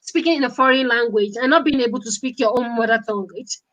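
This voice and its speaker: A woman's voice: a little high, fast, a bit bright, highs cut off. A woman chatting live as a guest writer, earnest and emphatic, often repeating her phrases. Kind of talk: casual live chat. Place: at home abroad.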